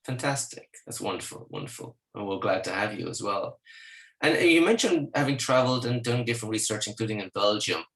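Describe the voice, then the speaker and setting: A man's voice: lowish voice, animated, brisk, a bit bright. A man hosting an interview podcast, encouraging and courteous, asking long questions with some hesitant fillers.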